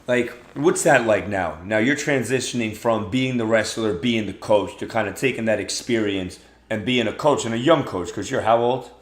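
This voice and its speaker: A man's voice: lowish voice, normal speed, few pauses, roomy sound. A man hosting a podcast, asking questions casually and encouraging the guest with frequent backchannels. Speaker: podcast host. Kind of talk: interviewing, casual.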